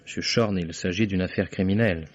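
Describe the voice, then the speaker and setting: An actor playing a courteous, persistent lawyer who questions deliberately, in the style of 1950s French radio drama. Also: male voice, sits low, no echo. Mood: formal, grave. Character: courteous, persistent male New York lawyer investigating a case. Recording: dull, band-limited vintage radio recording.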